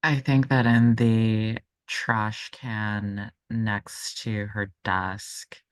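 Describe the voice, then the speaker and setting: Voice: mid-range voice, flat delivery, extremely slow, no echo. A player in a tabletop game, casually improvising a description of a scene.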